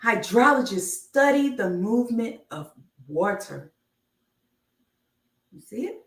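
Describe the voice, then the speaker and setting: A woman reading a children's picture book aloud on a live stream, enunciating deliberately like a teacher. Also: a little high, very animated. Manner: slow, deliberate enunciation with teacherly announcements of each letter. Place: indoor room, live stream. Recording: roomy, echoey, distant microphone.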